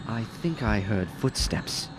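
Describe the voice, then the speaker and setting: A stern elf sentry from a video game, fairly low and very animated. He sounds watchful, with loud music around him. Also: unhurried. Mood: wary.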